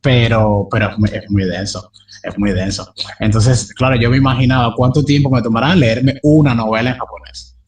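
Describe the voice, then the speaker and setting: A man's voice: medium pitch, moderate pace, a little mellow, recorded from a distance. A young man talking casually as an interview guest, rambling with many fillers and restarts. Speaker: polyglot interviewee.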